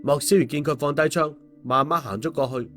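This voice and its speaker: A man's voice: fairly high pitch, brisk, a bit bright, faint music behind. A man narrating a scripted audiobook story.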